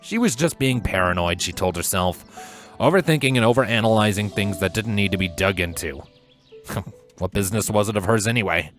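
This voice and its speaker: Low-voiced man narrating a story. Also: animated, fairly quick, a bit bright. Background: music.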